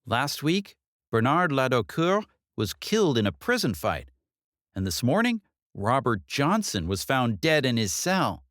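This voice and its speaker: Audiobook police detective, female played by a man: raised pitch, lively inflection, measured and concerned. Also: no echo, clean studio sound. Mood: grave. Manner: measured, earnest, official questioning.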